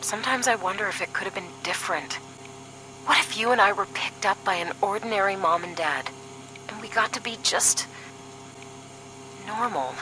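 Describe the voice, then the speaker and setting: A woman's voice: mid-range voice, moderate pace, long pauses, noisy background, close to an everyday voice. A woman acting as a sardonic, duty-minded young paramilitary agent in a scripted audio drama, dry and serious. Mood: wistful.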